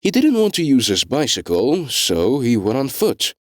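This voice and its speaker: A male narrator with a medium-pitched voice reads a scripted tale. His intonation moves widely, while the phrasing stays measured, with deliberate dramatic pauses. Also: huge pitch swings, no room sound, studio quality.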